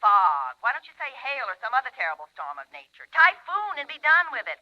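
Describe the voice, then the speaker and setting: An actress playing a suspicious, nagging wife in a 1950s radio thriller, scolding and sarcastic over the telephone. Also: female voice, fairly high pitch, sing-song, a little fast. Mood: angry, accusing. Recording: dull vintage 1950s radio recording.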